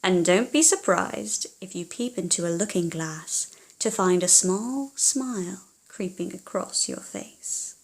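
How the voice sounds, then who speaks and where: A woman narrating a children's storybook in an expressive, dramatic way with hushed passages. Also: on the low side, a little slow, low-quality recording.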